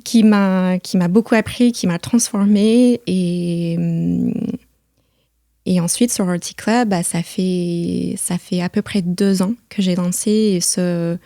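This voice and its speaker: A middle-aged woman from the United States, speaking with an American accent in a casual podcast conversation, often pausing and repeating words as she goes. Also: fairly low pitch, steady tone, creaky, dark tone, no room sound, studio quality. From United States (Missouri). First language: English. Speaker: podcast host.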